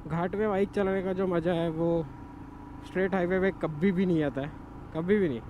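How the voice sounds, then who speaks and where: A man's voice: high voice, fairly even intonation, moderate pace, highs cut off. A man from India vlogging his motorcycle trip in casual talk that slips into English phrases, recorded outdoors by the road. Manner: mixes English time checks into casual Hindi. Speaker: motovlogger. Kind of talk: casual travel vlog narration. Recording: noisy roadside recording.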